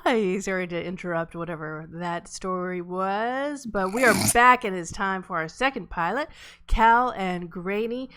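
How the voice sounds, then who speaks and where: A woman's voice: mid-range voice, unhurried, fairly bright tone. A woman casually hosting a live script table read.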